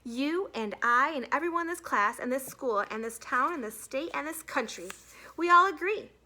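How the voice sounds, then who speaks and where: A woman's voice: high-pitched, animated, normal speed, bright, forceful tone, voice pushed up. A woman voicing a stern, authoritative female schoolteacher in a storybook, lecturing with emphasis.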